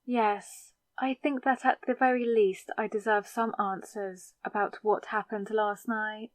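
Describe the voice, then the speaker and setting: A woman's voice: medium pitch, fairly even intonation, measured pace, no echo, natural voice. A woman acting in an audio roleplay as a confused, hungover new vampire, wary and sarcastic, muttering in exasperation to herself. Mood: confused, demanding.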